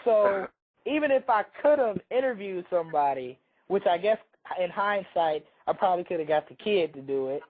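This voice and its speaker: A hoarse man chats casually on a talk show, rambling through a story and often restarting his sentences. His voice sits a little high for a man. The sound comes through a thin, phone-like line. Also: animated, normal speed. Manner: rambling, self-interrupting storyteller. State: hoarse from a cold. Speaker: radio show co-host.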